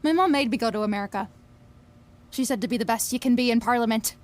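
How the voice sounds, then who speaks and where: A young woman, played by an actress, tells her story in an audio drama. Her voice sits fairly high, and she is wry and confiding, swearing freely while sounding wistful. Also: quick pace, a little mellow, lightly acted. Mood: wry, longing. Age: young adult. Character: Billie, brash, wistful young Scottish woman obsessed with fairies. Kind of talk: audio drama narration and dialogue.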